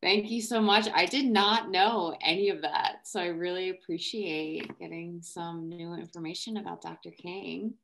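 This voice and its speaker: A woman's voice: lowish voice, normal speed, vocal fry, few pauses, fairly bright tone. A young woman hosting an online discussion in a casual way, speaking from a room at home on a video call. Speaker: host moderating a discussion. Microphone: computer microphone on a video call.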